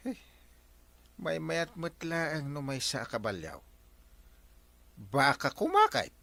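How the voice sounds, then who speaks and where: A man's voice: fairly high pitch, huge pitch swings, slow, vocal fry, pushed and bright, dry recording, full character voice. A man with an Ilocano accent playing a scheming, exasperated village captain in a radio comedy drama. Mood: plotting.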